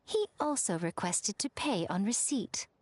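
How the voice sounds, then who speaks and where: A woman's voice: mid-range voice, animated, unhurried, a little mellow. A woman voicing a sly, teasing, elegant shrine lady in a video game, playful and poised in her delivery. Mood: wry. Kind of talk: animated video game voice acting.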